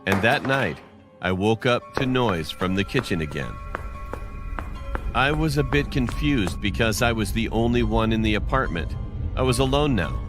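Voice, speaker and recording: A man narrating a scripted scary story in the first person. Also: lowish voice. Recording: music under the voice. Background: clearly audible music.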